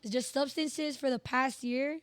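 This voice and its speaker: A woman, hoarse from hosting events, chatting candidly as a podcast guest and telling animated, slangy stories. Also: sits high, measured pace. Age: 20s. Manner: animated storyteller, slangy and profane, emphatic. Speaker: podcast guest, radio personality. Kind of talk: candid casual interview chat.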